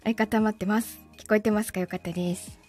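A woman's voice: medium pitch, fairly even intonation, quick pace, darkish tone, soft background music. A woman hosting a casual livestream, chatting and teasing in a giggly way while she greets commenters. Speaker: livestream host and voice actor. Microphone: microphone through an audio mixer.

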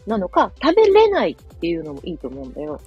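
A woman's voice: on the low side, huge pitch swings, fast talker, slightly rough, dull audio, soft background music. A woman chatting casually as a guest on a live talk, explaining things in a conversational way. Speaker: guest storyteller giving picture-book writing advice. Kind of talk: casual chat.

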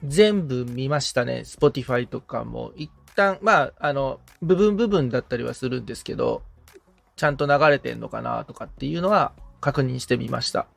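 A man's voice: medium pitch, expressive, normal speed, vocal fry, no echo, faint music behind. A man chatting casually as the assistant on a podcast, answering the host's questions. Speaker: podcast assistant and guitar repairman. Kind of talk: casual interview chat.

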